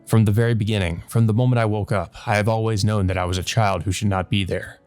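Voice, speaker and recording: A man with a fairly low voice reads a visual novel aloud for a let's play. He narrates the text and slips into casual asides. Music plays in the background. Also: fairly even intonation, quick pace, a little mellow, clear, resonant tone, no echo.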